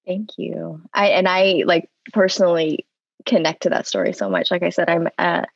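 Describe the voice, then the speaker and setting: A woman's voice: on the low side, very animated, normal speed, gravelly, no echo, muffled recording. A woman moderating a panel discussion over a video call, speaking earnestly. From Yemeni American.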